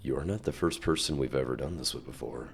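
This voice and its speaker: An extremely low-voiced male CEO, acted by a man for an ASMR roleplay, with a heavy gravelly creak. He is suave and courteous, addressing the listener in measured, reassuring corporate phrases with quiet dominance. There is faint noise behind the voice. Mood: solicitous, composed. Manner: smooth, measured, polite corporate reassurance, deliberate pauses.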